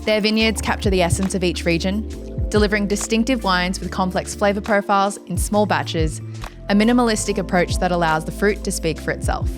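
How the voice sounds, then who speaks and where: A woman giving a smooth, measured scripted ad read. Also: medium pitch, steady tone. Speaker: podcast co-host reading an ad. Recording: ad read over music.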